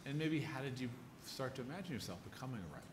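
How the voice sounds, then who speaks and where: A man moderating a live on-stage conversation, addressing guest and audience in a deliberate, ceremonious way. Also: medium pitch, lively intonation. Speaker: interviewer and moderator. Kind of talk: formal live on-stage hosting. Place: live event in a large room. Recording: echoey, noisy event room.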